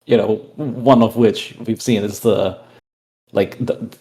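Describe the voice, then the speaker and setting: A man explaining his character casually in a tabletop game, halting and thoughtful. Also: fairly low pitch, steady tone, dark tone, rough recording. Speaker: player in a tabletop roleplaying game.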